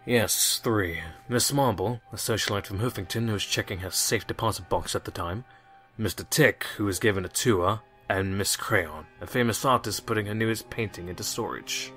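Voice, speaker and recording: A man's voice: fairly low pitch, normal speed, fairly bright tone, faint music behind, lightly acted. A man voicing an earnest police inspector pony, matter-of-fact and explanatory, in an audio drama. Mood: explaining. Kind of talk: audio drama character acting.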